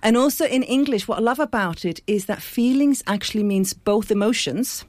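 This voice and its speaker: A woman's voice: a little high, normal speed, darkish tone, dry recording. A woman from Iceland, a clinic founder speaking as a radio studio guest, thoughtful and earnest, explaining her ideas through everyday analogies. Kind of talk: studio interview.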